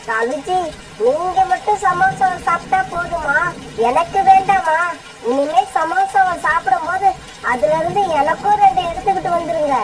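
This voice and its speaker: A woman's voice: very high voice, moderate pace. A woman voicing a scheming, nagging female bird in a cartoon story. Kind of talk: dubbed cartoon dialogue. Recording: clearly noisy recording.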